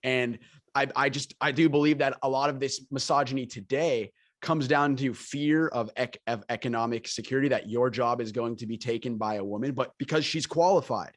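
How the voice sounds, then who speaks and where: A man's voice: medium pitch, brisk, dry recording, clean studio sound. A man hosting a podcast, talking conversationally and emphatically, often repeating phrases for stress. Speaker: podcast host. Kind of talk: conversational interview commentary.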